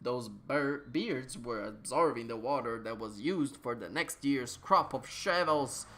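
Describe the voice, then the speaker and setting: A male narrator with a mid-pitched voice reads an absurd story aloud. He performs it theatrically, stretching phrases out with mock gravity, as a comic dramatic reading. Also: unhurried, a bit bright.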